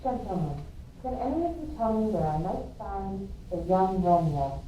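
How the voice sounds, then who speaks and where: A woman plays a gossipy, protective old nurse in amateur Shakespeare. Her voice is very mellow and swings about, far off in a very reverberant room. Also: mid-range voice, expressive, moderate pace, faint music behind, close to an everyday voice. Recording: very echoey, distant, dull, faint noise.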